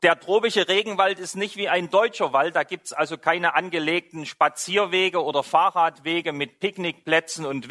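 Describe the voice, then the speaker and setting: A middle-aged man from Saxony with a Saxon German accent, preaching a sermon as a missionary, telling a story in a lively way. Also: a little high.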